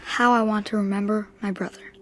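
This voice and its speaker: A woman's voice: medium pitch, little pitch movement, fairly quick, a bit bright, a little hiss or hum, natural voice. A woman playing a solemn young boy in a game, telling of his brother in a plain, reflective way. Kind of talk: scripted storytelling narration. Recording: music behind the voice. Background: prominent music.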